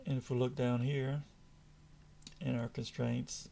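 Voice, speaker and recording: A man with a raspy voice, calmly walking through a software tutorial over a screen recording. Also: medium pitch, a little hiss or hum. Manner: slow, measured, patient explaining. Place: indoors at a computer, voice-over on screen capture.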